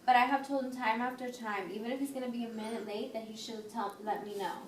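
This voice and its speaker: A woman's voice: a little high, fairly even intonation, fast, close to an everyday voice. A woman playing a worried, strict single mother of a teenage son in an amateur role-play. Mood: defensive, insistent. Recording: echoey room, distant mic, faint noise.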